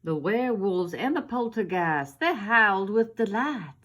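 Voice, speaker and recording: A woman's voice: mid-range voice, expressive, a little slow, a bit bright. A woman voicing a little zombie ballerina girl, dramatic and eager, in a theatrical children's storybook reading. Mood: dramatic, spooky.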